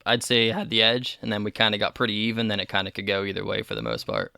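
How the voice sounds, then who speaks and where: Man, 30s, podcast co-host; gravelly, relaxed delivery. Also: lowish voice, fast talker, no room sound, clean studio sound. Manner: relaxed, reads listener mail aloud. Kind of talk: casual chat.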